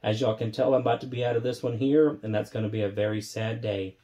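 Slightly raspy man, mellow voice. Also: fairly low pitch, fairly even intonation, fast talker. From Texas. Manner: enthusiastic, conversational, holding up bottles while tasting. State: congested from allergies. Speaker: rum reviewer. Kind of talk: casual spirits review. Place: indoor home bar room.

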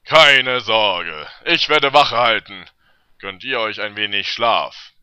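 A man's voice: lowish voice, slow, bright, forceful tone, smooth, dry recording, heavily acted. A man playing a decisive, commanding leader of fantasy adventurers in an audio drama. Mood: protective. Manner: decisive, instructing. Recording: muffled lo-fi amateur recording.

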